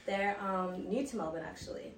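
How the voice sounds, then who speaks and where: A woman hosting a casual chat podcast, hyped up and making her own shouted sound effects. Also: mid-range voice, normal speed, noisy background. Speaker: podcast host. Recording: roomy room, distant mic.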